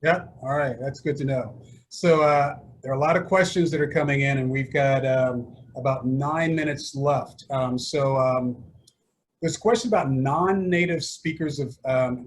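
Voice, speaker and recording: A man moderating a panel discussion, asking questions in a halting way with many pauses. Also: medium pitch, a little hiss or hum, low-quality recording. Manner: halting, many fillers and restarts.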